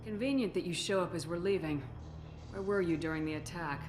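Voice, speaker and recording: A woman's voice: lowish voice, fairly quick, over music, natural voice. A woman playing a resolute, principled military commander in a video game, speaking in a firm, no-nonsense, questioning manner. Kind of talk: video game cutscene acting.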